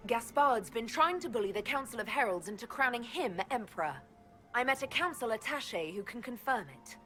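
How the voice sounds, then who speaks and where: A woman's voice: a little high, lively intonation, a little fast, bright, forceful tone. An actress playing a shrewd, coolly confident female leader in a video game, dry, composed and pointed. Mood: firm. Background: music.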